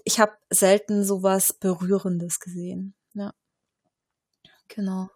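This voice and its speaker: A woman's voice: mid-range voice, level tone, slow pace, a little mellow, slightly rough. A woman in a casual panel discussion, speaking earnestly with some fillers.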